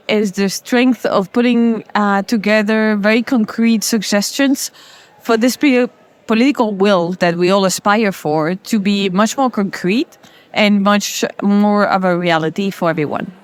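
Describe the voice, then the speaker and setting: Measured, formal woman representative. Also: mid-range voice. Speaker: UN agency representative. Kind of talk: interview statement.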